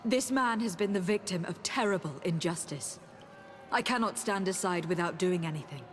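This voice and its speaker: An actress playing a noble young diplomat adventurer in a fantasy game, formal, composed and earnest. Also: female voice, lowish voice, moderate pace, natural voice. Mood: resolute. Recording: reverberant hall, music underneath.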